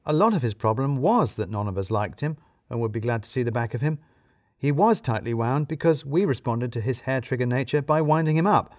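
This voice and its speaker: Male narrator for comic fiction: mid-pitched, very expressive intonation, wry and self-deprecating, close dry sound. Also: no echo. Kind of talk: scripted first-person comic story narration.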